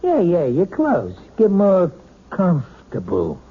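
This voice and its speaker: An American man playing a gruff, impatient, domineering husband in 1970s radio drama style, curt and sarcastic. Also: high-pitched, huge pitch swings, slow, full character voice. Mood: awkward coaxing. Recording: dull, noisy old radio broadcast.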